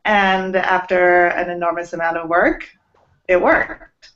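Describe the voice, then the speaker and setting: A woman's voice: fairly low pitch, steady tone, takes it slowly, fairly bright tone. A woman in her forties from Los Angeles, a guest artist chatting casually in an interview with many ums and laughs, on a video call from her home studio. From Los Angeles, USA. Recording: muffled video call audio.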